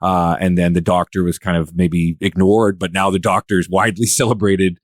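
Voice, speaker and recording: A man's voice: deep voice, little pitch movement, normal speed, dry recording, studio quality. A man hosting a casual podcast discussion, rambling as he repeats and rephrases himself. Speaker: podcast host.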